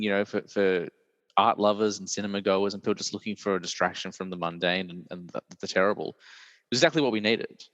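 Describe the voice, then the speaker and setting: A man, hosting a casual film chat in a deep, croaky voice while he recovers from illness, rambling with many fillers. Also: fairly bright tone, no echo, big-room sound. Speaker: podcast host.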